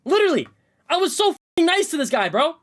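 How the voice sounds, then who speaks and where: Fast, indignant young streamer man. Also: extremely high pitch, very animated, a bit bright. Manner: indignant, rapid, repeats himself, swears. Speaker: livestreamer. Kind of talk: venting to stream chat.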